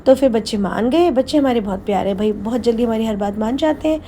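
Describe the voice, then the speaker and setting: High-pitched woman vlogger. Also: fast, warm tone. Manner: mixes English phrases into speech. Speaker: family vlogger. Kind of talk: vlog narration. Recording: background noise behind voice.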